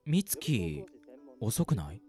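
Guy acting a friendly uni student in a radio play, bit of concern, music low behind. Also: very animated, drawn-out words, mellow, husky, exaggerated voice. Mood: mildly concerned. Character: friendly, concerned 22-year-old male university student. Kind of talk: amateur radio drama acting and narration.

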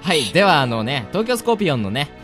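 A man's voice: a little high, very animated, quick pace. A man co-hosting a radio show with casual, chatty banter. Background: music.

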